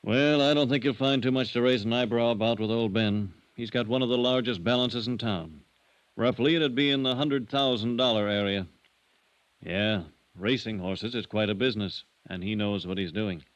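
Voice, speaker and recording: An actor playing a shrewd, worldly banker with a wry, folksy, unhurried manner in a 1950s radio drama. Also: male voice, mid-range voice, no room sound, close to an everyday voice. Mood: amused, expansive. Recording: dull, dry vintage radio recording.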